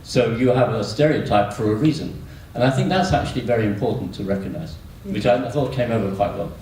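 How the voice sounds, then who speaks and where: A man's voice: lowish voice, a little fast, a little mellow. A man giving a spontaneous, reflective comment from the audience at a meeting. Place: large echoey room at a meeting. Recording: echoey hall, distant mic, faint noise.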